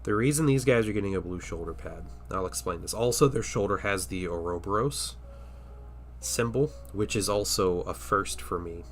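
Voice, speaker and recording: Deep-voiced nasal man with a cold. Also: moderate pace, faint music behind. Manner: rambling, hesitant, drops into murmurs while working. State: stuffy from a cold. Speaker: miniature painting livestream host. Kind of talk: casual livestream chat while painting.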